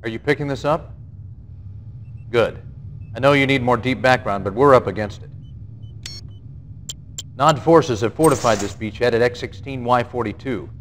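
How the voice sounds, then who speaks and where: An actor playing a brisk, gruff general who briefs a field commander in a clipped, authoritative way in a video game cutscene. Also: male voice, medium pitch, fairly bright tone, lightly acted. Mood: businesslike, urgent. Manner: clipped, authoritative, matter-of-fact. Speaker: military commanding officer. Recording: noisy 1990s game video audio.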